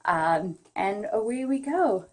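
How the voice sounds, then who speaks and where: A woman's voice: mid-range voice, lively intonation, slow pace. A woman chatting casually, bantering with playful exasperation. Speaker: romance novelist introducing a reading. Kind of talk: casual chat.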